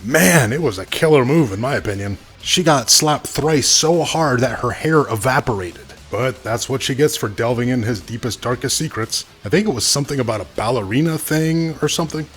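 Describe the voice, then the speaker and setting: A man's voice: medium pitch, animated, moderate pace, hardly any pauses, lightly acted. A man playing a folksy, opinionated wrestling co-commentator, delivering scripted sports commentary.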